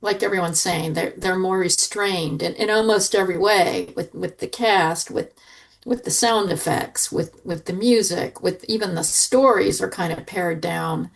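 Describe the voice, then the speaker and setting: A woman's voice: on the low side, measured pace, creaky, low-quality recording. A woman giving a casual review as a podcast panelist, listing her points in repeated phrases.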